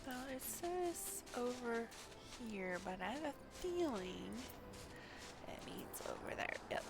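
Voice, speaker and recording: A woman's voice: on the high side, very animated, slow pace, creaky. A woman streaming a game live, muttering to herself as she plays. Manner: mutters to self while playing. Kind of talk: live game playthrough, reading dialogue aloud.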